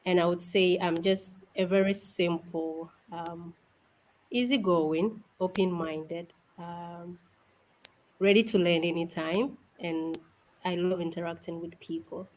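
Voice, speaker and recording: A young woman from Ghana, a guest on an interview show, cheerful and soft-spoken, laughing often as she talks. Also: on the low side, fairly even intonation, slow. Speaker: guest, event organizer. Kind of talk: casual interview.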